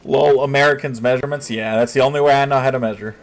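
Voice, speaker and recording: A man talks loosely to his live stream audience, answering chat as he goes. He thinks out loud and often stops to rephrase before he finishes a thought. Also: medium pitch, fairly even intonation, fast. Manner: chatty, reads chat aloud, often restarts and thinks out loud. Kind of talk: casual livestream chat.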